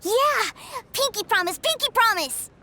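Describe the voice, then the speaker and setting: A woman voicing an eager, innocent little boy in an anime video game, with an excitable, earnest, childlike manner. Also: very high-pitched, unhurried, noisy background, exaggerated voice. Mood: happy, affectionate. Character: eager, innocent little boy who adores his big brother.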